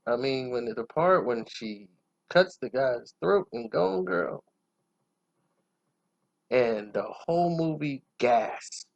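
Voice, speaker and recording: A man reviewing a film casually in a self-assured, emphatic way, breaking his phrases up with dramatic pauses. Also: mid-range voice, creaky, highs cut off. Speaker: movie reviewer.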